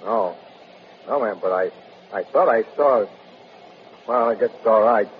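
A man's voice: lowish voice, measured pace, natural voice. A man acting a puzzled, earnest soldier on sentry duty in an old radio drama, hesitant and folksy. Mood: puzzled, suspicious. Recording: tinny, noisy vintage radio recording.

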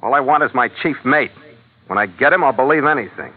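An actor playing a hard-boiled, suspicious ship's skipper in old-time radio noir style, terse and sardonic. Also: male voice, medium pitch, lively intonation, quick pace, breathy edge. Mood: curt, impatient. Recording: tinny vintage radio recording, faint music.

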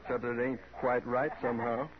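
A man's voice: mid-range voice, level tone, brisk, background noise, lightly acted. A man playing a plainspoken, loyal cowboy foreman in a radio western, with a laconic, folksy rural Western drawl. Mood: puzzled, plainspoken. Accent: rural Western American drawl. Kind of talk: 1950s radio western acting. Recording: muffled old radio, crowd behind.

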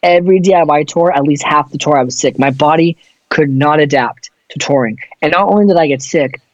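A man's voice: on the high side, fairly quick, no room sound, lo-fi sound. A man who sings in a touring band, chatting casually in a loose, rambling way with plenty of swearing. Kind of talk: casual chat.

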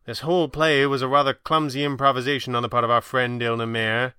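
A man playing a composed, erudite masked crimefighter in an audio drama, speaking in a measured, articulate way with dry wit. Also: mid-range voice, level tone, sharp tone, clear, resonant tone, no echo, natural voice. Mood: dry, explanatory. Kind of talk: audio drama character voice in narrated reading.

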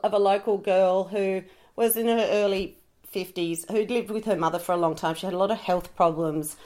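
A middle-aged woman with a croaky voice from a cold, chatting frankly on a podcast and swearing freely. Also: mid-range voice, fairly even intonation, normal speed, warm tone. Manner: frank and sweary, laughing easily. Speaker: podcast co-host. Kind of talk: casual chat.

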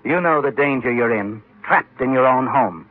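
An American actor as an ominous narrator of an old radio suspense drama, addressing the heroine directly. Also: male voice, mid-range voice, expressive, moderate pace, close to an everyday voice. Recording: tinny old radio recording.

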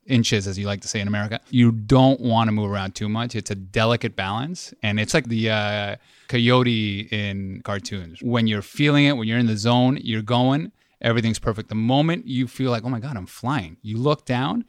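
Enthusiastic low-voiced man from Peru. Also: moderate pace, no room sound, studio quality. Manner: enthusiastic storyteller. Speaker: podcast guest. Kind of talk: casual interview chat.

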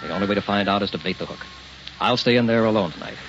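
A determined family man turned investigator played by a male actor in a vintage radio drama. His deep voice broods in clipped, terse phrases. It comes through a dull, roomy old recording with music underneath. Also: racing, fairly bright tone, a little hiss or hum, lightly acted. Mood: firm, resolute.

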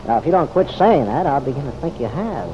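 Through a muffled, noisy old radio recording comes an actor as a wry American police detective. His pitch moves freely as he needles and probes in easygoing, slangy radio drama style. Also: sing-song, a little fast, close to an everyday voice. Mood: pressing.

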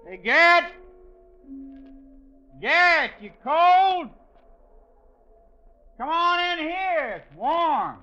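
An actor playing a young, brash Old West outlaw leader in an old-time radio western, talking in clipped cowboy phrases. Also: male voice, extremely high pitch, big pitch swings, very slow, pauses often, sharp tone, soft background music, heavily acted. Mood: taunting, shouting. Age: young adult. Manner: clipped, terse cowboy talk. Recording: muffled old radio recording.

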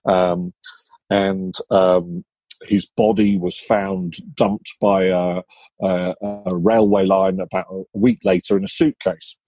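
A man's voice: low voice, level tone, slow pace, mellow, breathy edge, no echo. A male author explaining a case in an interview, hesitant and full of fillers. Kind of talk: conversational explanation.

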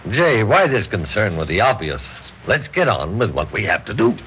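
An actor playing a reasonable, conciliatory committee conspirator who insists on procedure in an old-time radio drama. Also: male voice, lowish voice, very animated, normal speed. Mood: coolly persuasive. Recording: dull, noisy old radio recording.

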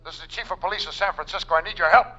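A high raspy worked-up police chief, slow and very sharp, old radio comedy. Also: male voice. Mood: agitated. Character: agitated male big-city police chief. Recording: muffled old radio phone-call scene.

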